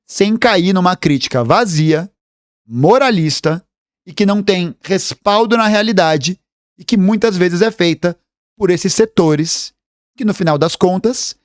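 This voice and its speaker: A man's voice: high voice, lively intonation, no room sound, studio quality. A man with a Brazilian accent giving political commentary in an argumentative, lecturing way. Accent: Brazilian Portuguese. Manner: argumentative, fast lecturing delivery. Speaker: host commenting on political video clips.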